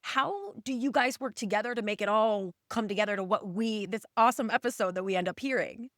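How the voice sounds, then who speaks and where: A woman's voice: mid-range voice, fairly quick, a bit bright, dry recording, clean studio sound. A woman hosting a podcast, asking questions in casual conversational talk. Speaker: podcast host.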